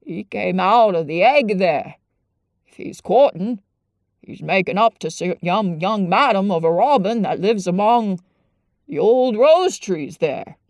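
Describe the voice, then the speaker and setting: Woman doing a gruff old Yorkshire gardener. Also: medium pitch, animated, a little mellow, dry recording, studio quality. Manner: slow, dialect-heavy, grumbling.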